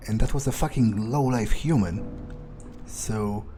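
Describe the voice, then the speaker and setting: Low, mellow man musing in character. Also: a little slow, soft background music. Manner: hesitant musing with muttered asides. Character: self-assured, scornful male vampire player character. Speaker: gameplay video player. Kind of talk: in-character game playthrough, thinking aloud. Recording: noticeable background noise.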